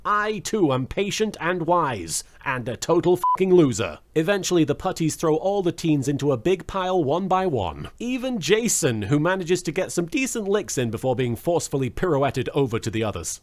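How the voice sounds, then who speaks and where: A man narrating a comedic recap with playful, sarcastic, profane commentary. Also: high-pitched. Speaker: host of a show recap and commentary.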